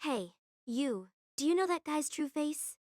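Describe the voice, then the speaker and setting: An actress playing a hot-tempered, scornful young military student in an audio drama, confrontational and accusatory. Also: female voice, high voice, expressive, measured pace, a little mellow, dry recording, studio quality. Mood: scornful, accusing. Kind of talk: anime-style audio drama acting.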